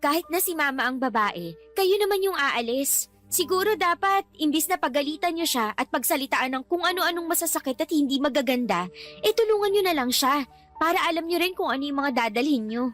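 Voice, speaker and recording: A defiant young adult daughter in a radio drama. Her high voice is reproachful and outspoken as she talks back. Music plays faintly behind her. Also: animated, a little fast, fairly bright tone. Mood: concerned, reproving. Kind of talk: Tagalog radio drama acting.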